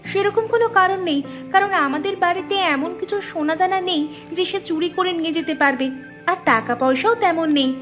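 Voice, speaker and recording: A woman's voice: very high-pitched, moderate pace. A woman voicing a poor, plucky young village woman in a cartoon story. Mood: earnest, indignant. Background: background music.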